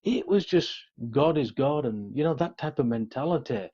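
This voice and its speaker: A man's voice: medium pitch, animated, moderate pace, mellow, husky, highs cut off. A middle-aged man with a Yorkshire accent speaking earnestly and conversationally as an interview guest, often adding "you know" and "sort of". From Yorkshire, England. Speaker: interview guest, police officer.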